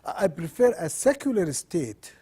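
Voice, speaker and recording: A middle-aged man from Sudan, a law professor answering interview questions in measured, earnest explanations. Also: sits high, very animated, mellow, husky.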